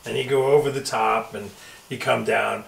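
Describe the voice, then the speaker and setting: A man's voice: lowish voice, fairly even intonation, moderate pace, creaky, distant mic. A man shares stories in a loose, casual chat. His voice is picked up a little way from the mic in a very echoey room, with faint noise behind. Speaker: firefighter in a panel chat. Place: indoor workshop.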